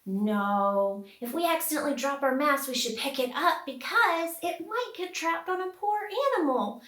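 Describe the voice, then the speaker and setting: A woman hosting a puppet storytime, talking to young children in an exaggerated, sing-song way with questions and dramatic pauses. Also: high voice, a bit bright, reverberant.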